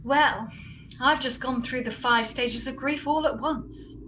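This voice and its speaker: An earnest, formal heroine of a comic radio adventure, acted by a woman. Her voice is very high and sits away from the mic, with faint noise around it. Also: unhurried. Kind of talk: comic radio drama acting. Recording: noisy, distant from the mic.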